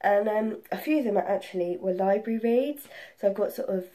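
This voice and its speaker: A young woman chatting casually and excitedly about books as a vlog host, rambling and correcting herself, slightly congested with a cold. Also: medium pitch, brisk. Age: young adult. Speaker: book reviewer and video host. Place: room at home.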